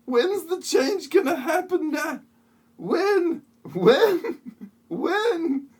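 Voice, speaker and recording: A woman voicing a wildly squealing, cackling, absurd character in an amateur comic audio play with drawn-out, shrieky delivery. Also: extremely high pitch, big pitch swings, a little mellow, full character voice. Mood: hysterical.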